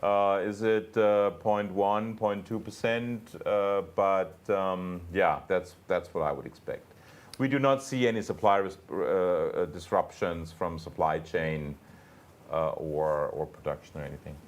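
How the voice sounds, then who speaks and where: A man speaking as a company executive, answering investor questions off the cuff in a halting, emphatic way with repeated words. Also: low voice. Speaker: company executive on an earnings call.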